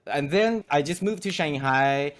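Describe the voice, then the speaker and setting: A young man from China, a guest artist chatting casually in a lively, halting English that is not his first language, laughing often. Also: fairly high pitch. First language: Chinese. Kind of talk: casual interview chat.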